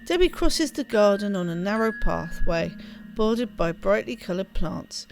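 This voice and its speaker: A woman reading a game's story text aloud in an expressive storybook style, with offhand asides as she plays. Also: mid-range voice, moderate pace, creaky, faint music behind. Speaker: let's play host. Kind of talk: reading game narration aloud.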